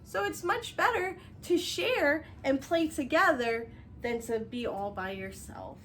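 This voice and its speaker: A woman reading a picture book aloud as a children's teacher, expressive and instructive, explaining things directly to young viewers. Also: high-pitched, a little slow, a little hiss or hum. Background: music.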